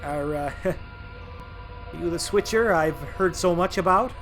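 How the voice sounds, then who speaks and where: A man voicing a cocky, high-pitched charming tank engine. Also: unhurried, fairly bright tone, soft background music, low-quality recording. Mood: friendly, charmed. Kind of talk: audio drama acting.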